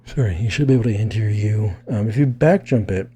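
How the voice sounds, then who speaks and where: A man's voice: on the low side, a little fast, warm tone, slightly rough. A man giving a casual fighting game tutorial, thinking aloud in a rambling way and often checking in with "right?". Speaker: gaming video creator explaining techniques.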